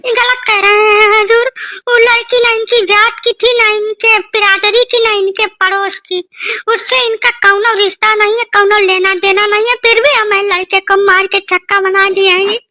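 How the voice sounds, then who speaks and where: Woman acting a very shrill, plaintive village mother. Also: flat delivery, normal speed, pushed and bright, no echo, studio quality. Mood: indignant. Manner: plaintive, complaining. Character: aggrieved village mother pleading for her eldest son. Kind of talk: comedy cartoon dialogue.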